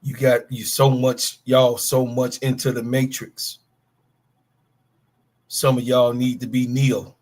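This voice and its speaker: A man's voice: mid-range voice, level tone, slow pace, long pauses, darkish tone. Fiery, profane man in his fifties. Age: 50s. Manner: profane, emphatic, calls out players by name. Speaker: podcast co-host. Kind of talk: heated sports talk rant.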